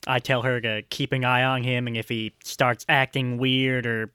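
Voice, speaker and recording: A man's voice: mid-range voice, flat delivery, normal speed, bright, forceful tone, smooth, dry recording, studio quality. A man playing a tabletop role-playing game, improvising casually in a matter-of-fact manner.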